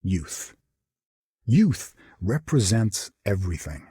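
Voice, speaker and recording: Serious game character, mellow husky voice, slow and expressive. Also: male voice, on the low side, no room sound, clean studio sound, exaggerated voice. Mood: solemn. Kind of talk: scripted game character voice line.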